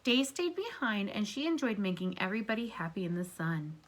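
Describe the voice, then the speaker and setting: A woman reading a picture book aloud to children as a teacher, pausing to put questions to them in an encouraging way. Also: medium pitch, sing-song, background noise.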